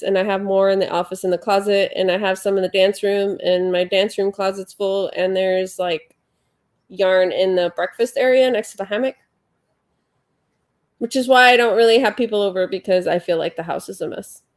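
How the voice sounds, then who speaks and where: A woman's voice: medium pitch, fairly even intonation, moderate pace. A congested woman in her forties hosting a casual livestream at home, rambling and chatty as she reads viewer comments. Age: 40s. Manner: chatty, rambling, with frequent fillers. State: congested, sniffling. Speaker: livestream host. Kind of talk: casual live chat, reading viewer comments.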